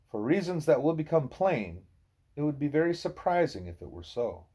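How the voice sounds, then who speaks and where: A man voicing a wise, patient gorilla teacher who lectures in a deliberate, formal way. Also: mid-range voice, warm tone.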